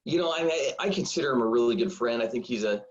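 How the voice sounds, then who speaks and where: A man in his forties, a high school football coach, telling stories in a rambling, self-deprecating way full of fillers. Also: expressive, fast. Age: 40s. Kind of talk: casual storytelling interview. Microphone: video call. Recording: dull lo-fi video call audio.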